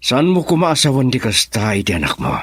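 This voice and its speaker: A weary, exasperated father in a radio melodrama. His middle-aged voice is husky, with lively swings in intonation. It sounds dry. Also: mid-range voice, a little fast, dry recording. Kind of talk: melodramatic radio drama acting.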